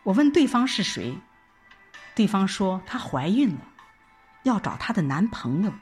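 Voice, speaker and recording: A woman narrates a first-person drama in an emotive, theatrical way. Her pace is slow and her pitch moves widely. Music plays softly behind her voice. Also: very animated. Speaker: audiobook narrator.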